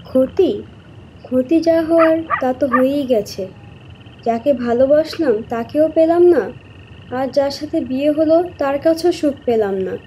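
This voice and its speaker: Casting: actress as sorrowful dead young woman's spirit, horror cartoon; high pitch, dark mellow tone, plaintive. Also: measured pace, slight background noise. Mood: bitter reproach. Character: wronged young woman's ghost, sorrowful and resigned. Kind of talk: dramatic horror cartoon voice acting. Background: music.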